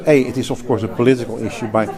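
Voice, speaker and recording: Dutch-accented executive, mid-pitched, emphatic interview answers, recorded amid a noisy crowd. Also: male voice, very fast. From Netherlands. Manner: animated, emphatic, restarts often, laughs easily. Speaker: healthcare company executive and clinician.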